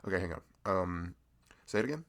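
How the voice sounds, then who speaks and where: A man acts out a bashful young male florist in a casual audio roleplay. His voice is low and gravelly, yet it moves up and down with lively swings. He rambles sweetly and apologetically, flustered and putting himself down as he talks to the listener. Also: fairly quick, exaggerated voice. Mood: relieved, friendly.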